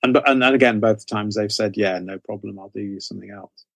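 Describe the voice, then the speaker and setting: A man's voice: on the low side, fairly even intonation, a little fast, creaky, no echo. A man giving casual interview answers in a hesitant way, often repeating and correcting himself as he explains. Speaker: interview guest, author and anthology editor.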